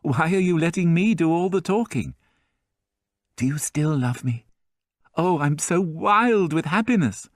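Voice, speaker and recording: A young woman overflowing with happiness, soft and eager, as a male narrator puts her on. Her voice sits higher and quieter than his own, with a lively lilt. Recorded dry and close. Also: a little slow, dry recording. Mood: overjoyed. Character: young woman, breathless with joy and love.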